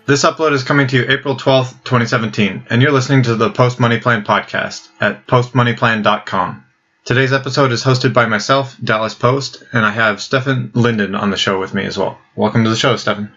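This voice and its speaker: A man's voice: mid-range voice, steady tone, brisk, sharp tone. A man hosting a podcast, asking guests long, conversational questions. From United States. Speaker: podcast host. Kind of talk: podcast interviewing. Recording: dull lo-fi podcast audio.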